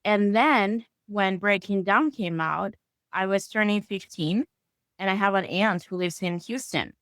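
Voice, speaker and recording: A woman's voice: mid-range voice, a little slow, fairly bright tone, no room sound, studio quality. A woman in her thirties from Venezuela, a native Spanish speaker, telling a friendly story as a guest author. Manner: friendly, storytelling, uneven pace. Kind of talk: casual interview chat.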